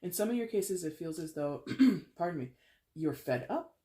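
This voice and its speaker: Stuffy-nosed tarot reader, chatty and rambling, mid-pitched, clearing a dry throat. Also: animated, moderate pace, dark tone. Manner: chatty, rambling, self-correcting with small laughs. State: congested with a head cold. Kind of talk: casual tarot reading to camera.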